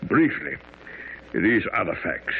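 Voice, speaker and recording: A man's voice: sits low, very animated, slow pace, creaky, a little hiss or hum, heavily acted. An actor playing a haughty, pompous Bohemian king with a German accent, speaking formally in a radio drama. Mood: grave, confiding. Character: imposing, haughty Bohemian king incognito, very tall. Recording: tinny, phone-like old radio recording.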